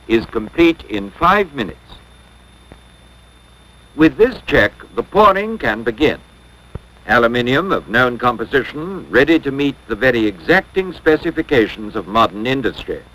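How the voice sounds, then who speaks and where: Male narrator, 1950s instructional film: scripted, formal and measured, mid-pitched with animated intonation. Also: poor audio. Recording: 1950s educational film soundtrack.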